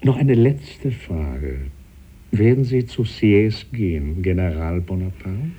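An actor playing a suave, cunning statesman and former bishop in a German radio play, silky, deliberate and sardonic. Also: low-pitched, animated, warm tone, breathy edge, heavily acted. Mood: calculating, assured.

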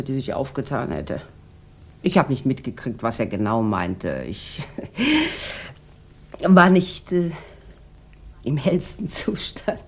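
A jaded, hard-drinking woman with a very low voice, in a 1960s German radio crime play. She is sharp-tongued and languid, coating her words in mocking irony. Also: exaggerated voice. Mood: wry, melancholy.

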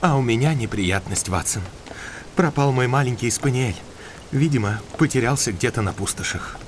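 A mid-pitched male voice of an actor as a country doctor in an audio drama. He sounds amiable but worried and downcast, over a faint bed of ambient noise. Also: lively intonation, moderate pace, close to an everyday voice.

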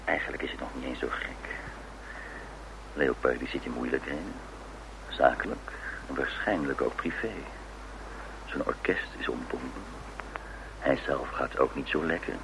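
A man's voice: a bass voice, normal speed, gravelly, long pauses. An actor playing a hotel detective in a radio crime drama, a man speaking in dry, musing, clipped phrases. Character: hotel detective Steve, deep-voiced, dry, speculating man. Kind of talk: radio crime drama acting with inner monologue. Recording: noisy old radio drama recording.

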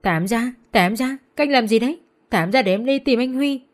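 A woman voicing a distraught young woman who pleads and cries, high and strained. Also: fairly quick, lightly acted. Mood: frantic. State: crying.

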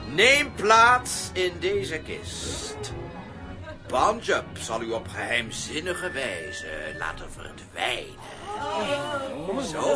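An actor playing a scheming, excitable stage magician in an exaggerated children's radio play style. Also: male voice, a little high, full character voice. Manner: exaggerated, swooping, stammers when flustered.

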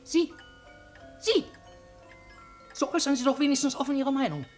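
A woman playing a tiny, irascible old shopkeeper in a radio play, with a thin, sharp voice and pompous, indignant speech. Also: high-pitched, big pitch swings, normal speed, faint music behind. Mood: indignant, taunting. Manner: indignant, theatrical, pompously formal address. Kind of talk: German radio play acting.

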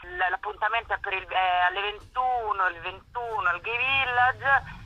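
A woman's voice: medium pitch, moderate pace, muffled recording. A woman, chatting casually in an interview as an author and actress, with an ironic, self-deprecating, rambling humour. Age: 30s.

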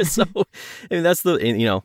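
A man's voice: a little high, big pitch swings, normal speed, no echo, clean studio sound. A man talking casually as an interview guest, telling things in an anecdotal way. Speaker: podcast guest, audio drama producer.

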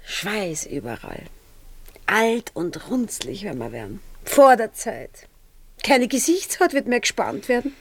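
Fretful, swooping Viennese baroness. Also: female voice, mid-range voice, very animated, measured pace, breathy edge, no echo, heavily acted. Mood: wailing self-pity. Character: snobbish, self-pitying Viennese baroness, fretful and vain. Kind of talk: radio play acting in dialect.

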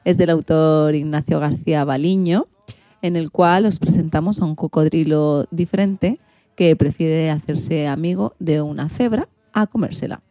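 A woman with a lowish, relaxed voice hosts a radio programme and narrates a children's story. The sound is dry and close. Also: normal speed, dry recording.

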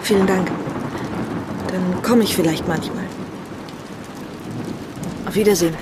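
An actress playing a polite, self-assured aristocratic lady in a radio drama, lonely and gently insistent. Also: fairly low pitch, steady tone, a little slow, very noisy, close to an everyday voice. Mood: earnest, open.

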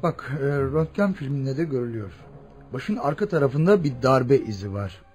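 A man playing a cautious senior hospital physician in a radio drama, speaking in a measured, deliberate, authoritative manner. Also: mid-range voice, animated, a little mellow, soft background music, lightly acted. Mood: grave, pondering. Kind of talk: Turkish radio theatre acting.

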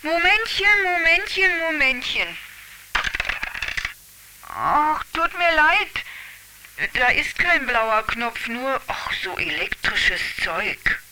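An actress playing a caring old village grandmother in a radio drama, expressive and full of fussy exclamations. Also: sits high, slow, exaggerated voice. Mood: bemused, flustered. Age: older. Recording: very noisy, clicking track.

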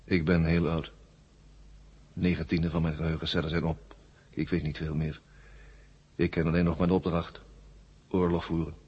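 A man playing an ancient, worn-out war machine brain in a 1970s radio drama, weary and forgetful, in flat, halting, repetitive statements. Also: a bass voice, husky. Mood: weary, explaining. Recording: muffled old radio drama recording.

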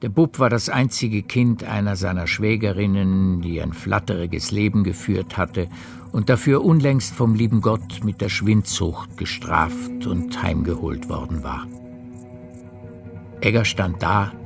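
A man narrating a literary radio play in a measured storytelling manner. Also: sits low, creaky, faint music behind.

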